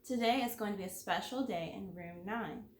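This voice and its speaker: A woman voicing a firm but patient kindergarten teacher in a storybook, measured and instructive. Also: mid-range voice, roomy sound, a little hiss or hum, voice pushed down, lightly acted. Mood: announcing.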